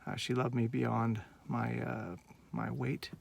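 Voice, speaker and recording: Gravelly earnest man in his forties. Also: mid-range voice, little pitch movement, takes it slowly, a little hiss or hum. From Northern California. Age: 40s. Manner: earnest, rambling, heartfelt. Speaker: vlogger. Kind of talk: casual personal vlog.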